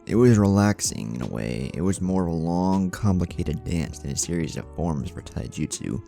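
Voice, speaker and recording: A man's voice: sits low, animated, normal speed, vocal fry, mellow. A man reading a scripted story aloud as narrator over music. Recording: music bed under the voice.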